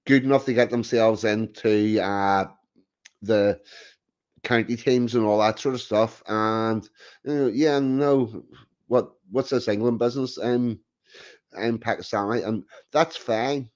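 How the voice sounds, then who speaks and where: Slow, blunt man in lo-fi audio. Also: on the low side, a little mellow. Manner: blunt, opinionated, frequent restarts. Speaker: host. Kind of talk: casual chat. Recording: dull lo-fi sound.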